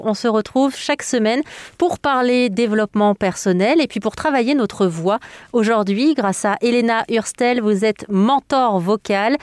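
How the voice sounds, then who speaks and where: A woman's voice: on the high side, a little mellow, no room sound, studio quality. A woman hosting a radio show, leading a conversational interview with guiding questions. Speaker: radio host.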